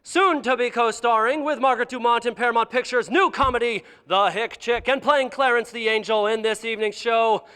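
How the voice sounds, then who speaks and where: An actor playing a showy 1940s radio announcer hosting a live broadcast on a theater stage. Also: male voice, very high-pitched, fairly quick, hardly any pauses, pushed and bright, clear, resonant tone. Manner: showy, emcee patter. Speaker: radio show host. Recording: echoey hall with crowd.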